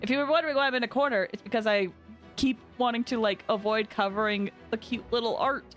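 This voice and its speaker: A woman game streamer giving casual live commentary in an excitable, expressive, giggly way. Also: a little high, fast talker, faint music behind.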